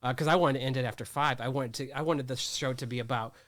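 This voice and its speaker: A man's voice: medium pitch, steady tone, fast talker, fairly bright tone, dry recording. A man in his thirties chatting casually in an interview, rambling and restarting often. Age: 30s. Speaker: magazine editor and producer.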